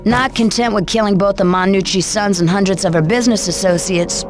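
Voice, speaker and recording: An actress playing a stern, dryly sardonic interrogator in a video game. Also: female voice, medium pitch, brisk, faint music behind, close to an everyday voice. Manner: dry, pointed. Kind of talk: video game cutscene acting.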